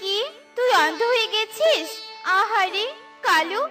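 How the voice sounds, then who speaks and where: A woman's voice: extremely high pitch, takes it slowly, music in the background. A woman voicing a small, kind-hearted female bird in a children's cartoon, earnest and exclamatory. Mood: sympathetic.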